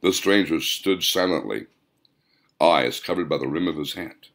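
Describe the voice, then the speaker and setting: A man reading scripted audiobook narration as the author, in measured, deliberate phrases. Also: a bass voice, lively intonation, gravelly, a bit bright.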